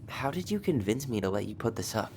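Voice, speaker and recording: Tyler, a teasing young brother. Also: male voice, medium pitch, sing-song, fast talker, background noise. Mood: nostalgic. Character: Tyler, young adult twin brother, teasing, nostalgic, easygoing. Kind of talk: naturalistic video game acting.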